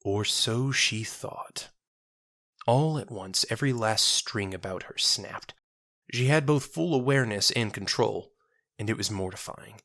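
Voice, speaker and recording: A narrator reading a scripted audio drama in a measured, solemn, dramatic manner. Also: mid-range voice, a bit bright, no room sound, clean studio sound.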